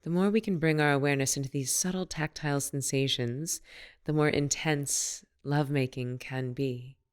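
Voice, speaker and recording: A woman leading a guided meditation as a teacher, speaking in a soothing, unhurried and gently instructive manner. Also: low-pitched, steady tone, clear, resonant tone.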